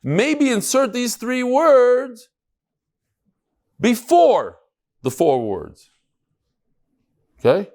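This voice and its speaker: A man's voice: very high-pitched, very animated, extremely slow, long pauses, a little mellow. A man giving an informal Talmud class, conversational and emphatic, mixing in Hebrew terms and rhetorical questions.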